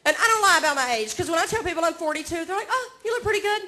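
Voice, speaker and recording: A woman's voice: very high-pitched, animated, racing, bright, forceful tone. A woman in her forties from Georgia with a Southern accent, doing a self-mocking stand-up set on a comedy club stage and chatting with the crowd. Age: 40s.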